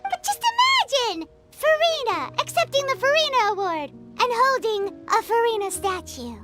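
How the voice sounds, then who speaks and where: A woman voicing a tiny, childlike, cheeky fairy companion in a video game, chatty and excitable. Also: very high voice, moderate pace, over loud music. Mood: teasing.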